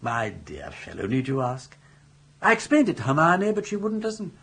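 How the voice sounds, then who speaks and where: An actor playing a suave, roguish older gentleman with an upper-class English accent, speaking in flowery, persuasive phrases in a British radio comedy. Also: mid-range voice, huge pitch swings, normal speed, creaky, breathy edge, heavily acted. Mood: smooth, confiding.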